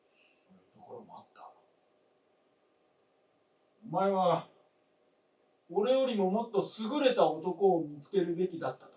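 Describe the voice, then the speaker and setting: An actor playing a world-weary, self-doubting old widower in a staged radio drama, with brooding, terse, old-fashioned husbandly speech. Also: male voice, high voice, dark tone. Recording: echoey stage, distant mic.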